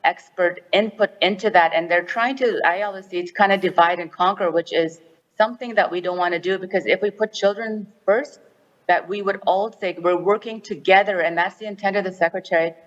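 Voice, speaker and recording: A woman's voice: mid-range voice, fairly even intonation, fairly quick, in a large hall, muffled recording. A woman chairing a directors forum, speaking earnestly to an assembly by phone and explaining a resolution with frequent restarts. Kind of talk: formal assembly remarks by phone.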